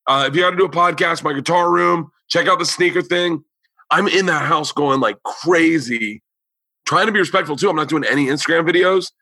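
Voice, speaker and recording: A man's voice: fairly high pitch, fairly quick, sharp tone, no room sound. A man hosting a podcast, telling stories in a loose, profane way and acting out what people said. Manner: loose, profane storytelling with quoted voices. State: losing his voice. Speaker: podcast host, comedian. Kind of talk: casual chat.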